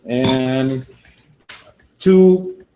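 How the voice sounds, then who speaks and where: A man from Argentina, demonstrating a recipe in a casual, enthusiastic way while thinking out loud. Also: mid-range voice, drawn-out words, a little hiss or hum, low-quality recording. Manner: chatty and enthusiastic, thinking aloud with fillers. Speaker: cooking demonstrator.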